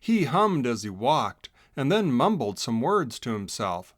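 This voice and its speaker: A man's voice: mid-range voice, big pitch swings, a little slow, dry recording, clean studio sound. A man narrating a children's story in a scripted read-along style.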